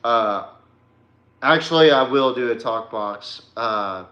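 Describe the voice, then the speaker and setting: Male podcast host, forceful bright voice, unhurried pace, casual sports talk, slangy and folksy, echoey room. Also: mid-range voice, animated, lo-fi sound. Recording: roomy, echoey sound.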